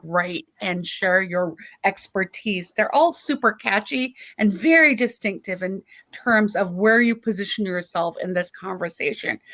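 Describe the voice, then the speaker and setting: A woman's voice: medium pitch, fairly bright tone. A woman moderating a panel, introducing speakers in a friendly, slightly hesitant way with frequent ums.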